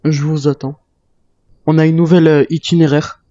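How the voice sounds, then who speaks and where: Guy roleplaying a soldier in a zombie game, soft mellow tone, kinda hesitant, muffled mic. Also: fairly high pitch, little pitch movement, normal speed, no room sound. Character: military survivor in a zombie apocalypse. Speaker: video game role-player. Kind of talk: improvised in-character game chat.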